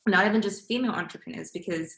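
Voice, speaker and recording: A young woman from Singapore hosts a relaxed interview, chatting freely and circling back on her words. Her voice sits a little low. The recording is roomy and echoey. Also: rapid-fire, vocal fry. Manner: chatty, restarts often, many fillers. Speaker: podcast host. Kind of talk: casual interview chat. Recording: echoey room.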